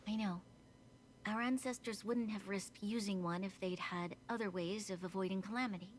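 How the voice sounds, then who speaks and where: A woman voicing a dignified, earnest young female leader in a video game, speaking in a formal, measured, solemn way. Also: medium pitch, steady tone, a little hiss or hum, lightly acted. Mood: solemn, resolute.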